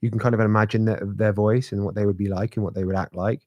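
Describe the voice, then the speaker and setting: A man's voice: fairly low pitch, fairly even intonation, quick pace, dry recording, muffled recording. A man in his thirties, from Britain, co-hosting a live podcast in rambling, casual talk that restarts often. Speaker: podcast co-host. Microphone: podcast microphone.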